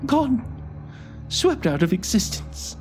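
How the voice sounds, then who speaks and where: A man voicing a frantic, half-mad male curate who wails and shouts in a high voice. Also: very animated, slow pace, exaggerated voice. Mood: anguished. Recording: music playing behind.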